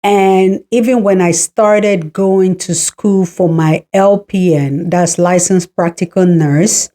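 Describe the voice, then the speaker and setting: A woman's voice: on the low side, fairly even intonation, slow, dark tone. A woman in her forties from Cameroon, hosting a podcast and telling her personal story in a reflective, encouraging way. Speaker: podcast host.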